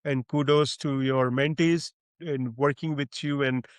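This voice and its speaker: A man's voice: medium pitch, fairly even intonation, unhurried, no room sound. A man hosting a podcast and introducing his guest in an effusive, formal way, with frequent "uh" fillers. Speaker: podcast host.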